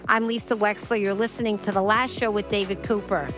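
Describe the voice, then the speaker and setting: A woman's voice: medium pitch, level tone, brisk, music in the background. A woman hosting a radio talk show, interviewing a guest in a chatty, effusive manner with lots of emphasis.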